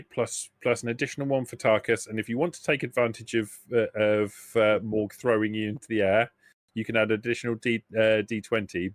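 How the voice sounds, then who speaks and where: A man's voice: lowish voice, no room sound. A man acting as game master, explaining rules and narrating a tabletop roleplaying game in a hesitant, explanatory way.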